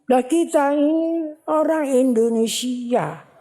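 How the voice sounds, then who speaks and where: Woman, religious teacher, sermon, very slow, repetitive emphasis, long hall echo. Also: high-pitched, dark tone. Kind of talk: sermon at a ceremony.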